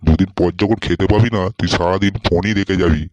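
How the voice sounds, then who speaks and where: A man's voice: deep voice, steady tone, fast talker, heavy background noise, close to an everyday voice. A man voicing a bad-tempered, foul-mouthed older bully in a comedy cartoon, scolding bluntly. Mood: nagging, angry.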